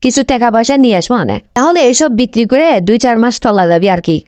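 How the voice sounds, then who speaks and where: A woman playing a lovestruck young village bride in a comedy cartoon, high-voiced and quick. She coaxes and insists in a North Bengal Bangladeshi accent, recorded very dry. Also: lively intonation, a little mellow, dry recording, natural voice. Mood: reassuring, coaxing. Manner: insistent, coaxing pleading.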